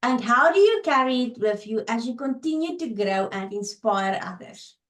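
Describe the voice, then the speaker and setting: High-voiced woman with an Afrikaans accent. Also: animated, normal speed, echoey room. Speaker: podcast host. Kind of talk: interview questions.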